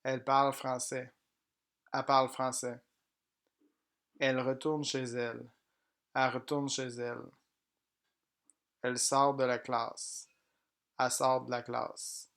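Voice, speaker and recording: A man teaching pronunciation, reading each example sentence slowly and plainly, often twice. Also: mid-range voice, little pitch movement, a bit bright. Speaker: French language teacher. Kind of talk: slow pronunciation drill.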